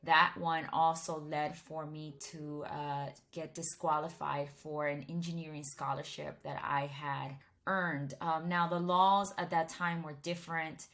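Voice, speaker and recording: A woman's voice: low voice, level tone, unhurried, few pauses, fairly bright tone. A woman in her thirties from Miami gives a casual, conversational personal testimony to camera, often restarting her sentences. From Miami, Florida, United States.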